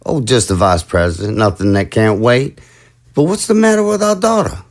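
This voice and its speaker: A man's voice: medium pitch, lively intonation, normal speed, close to an everyday voice. A man voicing a flustered undead male president who stammers and startles easily in an audio drama. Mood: astonished. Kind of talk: amateur audio drama acting.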